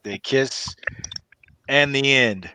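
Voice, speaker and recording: A man's voice: medium pitch, very slow, pushed and bright, slight background noise. A man narrating a comedy table read, reading stage directions with loose improvised asides.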